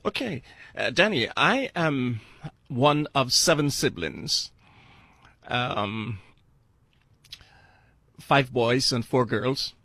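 A man's voice: mid-range voice, lively intonation, pushed and bright, dry recording. Older man, close dry sound. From Barbados. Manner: earnest, deliberate, repeats phrases for emphasis. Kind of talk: personal testimony storytelling.

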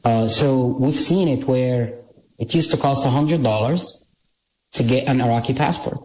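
A man's voice: mid-range voice, measured pace, a little mellow, low-quality recording. A middle-aged Egyptian-born man with Arabic as his first language, recounting his intelligence work in a plain-spoken way full of restarts. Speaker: guest, former intelligence operative. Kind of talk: storytelling in an interview.

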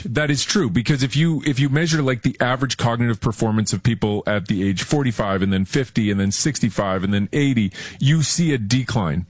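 A man's voice: medium pitch, no echo. A man co-hosting a radio talk show, upbeat and explaining research findings at length in a casual way. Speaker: co-host.

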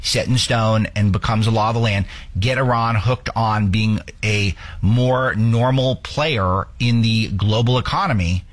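Husky-voiced male political talk host. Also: lowish voice, unhurried. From United States. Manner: earnest, stumbling restarts. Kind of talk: opinionated political commentary.

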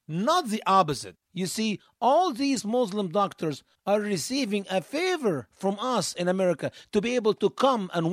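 A man delivers a spoken monologue in a high voice that swings widely up and down. He talks emphatically, tossing out rhetorical questions and then answering them. The sound is close and dry, with no room around it. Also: no echo. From Egypt. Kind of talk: monologue commentary.

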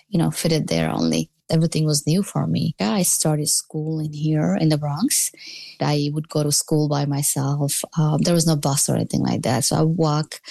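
Low, gravelly woman telling her story. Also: warm tone, dry recording. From born in Bangladesh, moved to New York City at eleven. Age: middle-aged. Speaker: interviewee. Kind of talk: personal storytelling.

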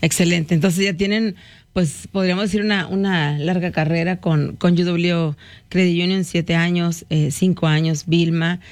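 A woman's voice: lowish voice, fairly even intonation, normal speed, a little mellow, dry recording. A woman from Mexico hosting a morning radio talk show, chatty with frequent fillers and backchannel interjections.

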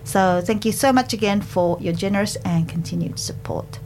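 A woman with a Japanese accent hosting a community radio show in a studio, chatty and quick to laugh. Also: medium pitch, darkish tone, slight background noise, low-quality recording. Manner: chatty, laughs often, switches to rapid Japanese. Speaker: radio host.

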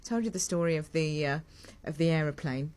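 A woman hosting a live morning radio show in a studio, chatty and rambling, her voice sitting lower than usual after a late night. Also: brisk. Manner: rambling, warm and self-mocking, with laughs. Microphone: large studio mic with a fluffy cover.

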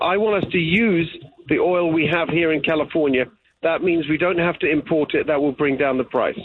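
A man's voice: on the high side, husky. A man from England, answering interview questions earnestly and repeating his key points. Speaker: political candidate. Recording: tinny phone line.

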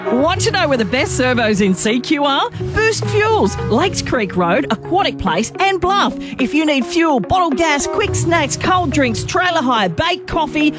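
A woman voicing a local radio ad with an energetic, sing-song delivery. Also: high-pitched, a little slow, hardly any pauses. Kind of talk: radio advertisement. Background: music bed.

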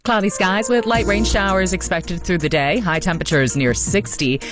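A female meteorologist reading a radio weather forecast in a smooth, steady way. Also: fairly low pitch, moderate pace, music in the background.

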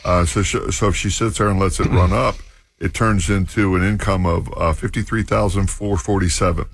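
A man co-hosting a casual finance radio show, talking in a halting way with lots of fillers and an easy laugh. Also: sits low, fairly even intonation, breathy edge, no room sound. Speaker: co-host, financial advisor.

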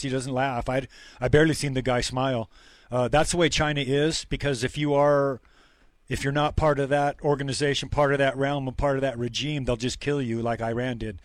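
A male talk-show host with a mid-range voice gives his opinions bluntly and without much softening. His voice sounds close and dry, with almost no room echo. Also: mid-range voice, level tone, moderate pace.

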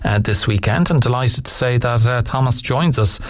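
A man's voice: mid-range voice, moderate pace, no echo. Man, radio host, hesitant with ums and restarts, dry close sound. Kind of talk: radio interview.

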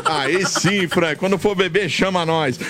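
A man's voice: high voice, a little slow, a bit bright, faint music behind. A Brazilian man co-presenting a sports radio show, reading out listener messages in an informal, bantering way. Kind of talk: casual sports radio chat.

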